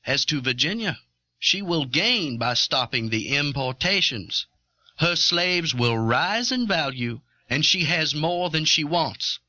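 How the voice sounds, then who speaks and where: Man acting an assertive, bright-voiced planter delegate. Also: a little high, animated, takes it slowly, no echo, exaggerated voice. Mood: insistent. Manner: formal, insistent. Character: assertive eighteenth-century Southern planter delegate defending slavery. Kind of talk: dramatized historical debate speech.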